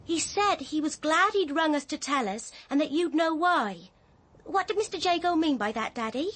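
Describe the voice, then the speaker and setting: An actress in a radio drama playing an anxious, dutiful young daughter, earnest and hesitant. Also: female voice, high-pitched, animated, moderate pace, fairly bright tone.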